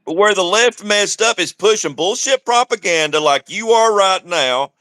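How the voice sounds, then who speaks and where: A man's voice: high voice, animated, moderate pace, sharp tone, no room sound. A man calling into a livestream, taunting the host in a heated political argument. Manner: taunting, repeats the host's name. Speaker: caller on a livestream.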